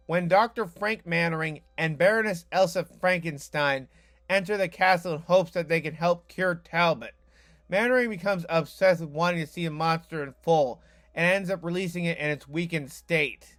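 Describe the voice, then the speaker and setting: A man's voice: high voice, fairly bright tone. A man hosting a scripted video essay, narrating with wry asides to the viewer. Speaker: host.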